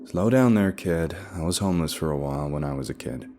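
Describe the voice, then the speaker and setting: A man voicing a tough, short-tempered woman detective with a gruff, blunt manner in a narrated story. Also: very deep voice, lively intonation, brisk, warm tone. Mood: hostile.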